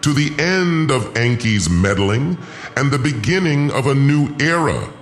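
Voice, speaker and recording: A man's voice: mid-range voice, lively intonation, a little slow, faint music behind, pitched above the performer's own voice, close to an everyday voice. A man playing an imperious, gloating alien lord in an audio drama, with a pompous, commanding and menacing delivery. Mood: gloating, grandiose. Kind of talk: first-person audio drama villain.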